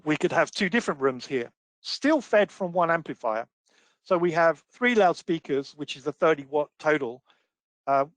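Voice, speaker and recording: A man presenting a technical webinar as a trainer, explaining step by step with examples in a measured way. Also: fairly high pitch, no echo, rough recording.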